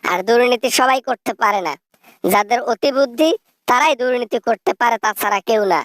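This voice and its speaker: A woman's voice: a little high, a little fast, no room sound, clean studio sound, natural voice. A woman playing a coaxing, shameless elderly mother, a corrupt exiled politician, in a satirical phone-call skit. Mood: smugly boastful.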